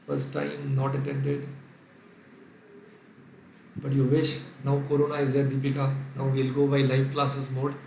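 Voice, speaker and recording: A man's voice: mid-range voice, completely flat delivery, unhurried, gravelly, long pauses, mellow, echoey room, a little hiss or hum, low-quality recording. A man from Kerala with an Indian English accent and Sindhi as his first language, giving a live online revision lecture. He dictates the working step by step and reads out viewers' names, answering them as he goes. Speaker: finance lecturer.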